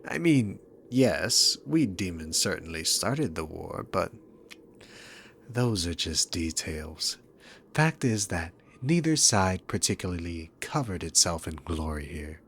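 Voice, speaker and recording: Demon king villain, played by a man: very low register, unhurried, teasing and dominant, sarcastic ASMR roleplay delivery. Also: a little hiss or hum. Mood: sardonic, argumentative. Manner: smug, teasing, drawn-out theatrical phrasing. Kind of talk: scripted ASMR roleplay addressed to the listener.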